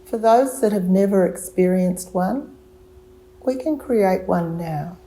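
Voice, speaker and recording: A woman teacher guides a relaxation meditation in a mellow, slightly low voice. Her delivery is very slow and gentle, with long pauses between short instructions. A creaky edge runs through her soothing tone. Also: animated, low-quality recording.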